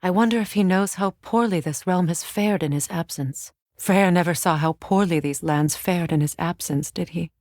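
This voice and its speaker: An actress playing a solemn, regal Norse goddess warrior in a video game, speaking in a measured, grave, formal manner. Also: female voice, fairly low pitch, darkish tone, no room sound, clean studio sound, natural voice. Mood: solemn, reflective. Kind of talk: dramatic video game voice acting.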